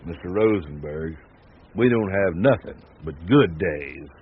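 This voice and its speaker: An actor playing an easygoing, laconic ranch hand with a folksy drawl from the American West, in a radio drama. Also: male voice, low-pitched, lively intonation, unhurried. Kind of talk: naturalistic radio drama acting. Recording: tinny narrow-band radio sound, background noise.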